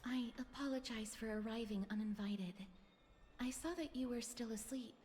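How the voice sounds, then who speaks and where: A woman's voice: fairly high pitch, steady tone, normal speed, darkish tone, slight background noise, close to an everyday voice. An actress playing a courteous, composed young female messenger in a video game, speaking politely and formally.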